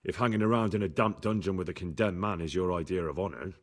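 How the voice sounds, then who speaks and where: An actor playing a dutiful young squire who speaks earnestly in a video game cutscene. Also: male voice, sits low, fast talker.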